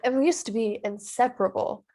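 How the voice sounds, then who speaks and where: A woman's voice: fairly high pitch, a little fast, a little mellow. An actress at a table read playing a sly, sugary young woman who mocks her friend. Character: young woman Megan, sly and mocking cousin.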